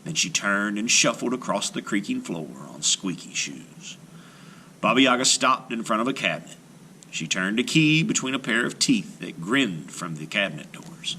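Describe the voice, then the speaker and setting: A male storyteller narrates a children's tale with theatrical emphasis. His mid-pitched voice swings widely and has a very bright, forceful edge, over faint noise. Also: very animated, moderate pace. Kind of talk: dramatic children's read-aloud narration.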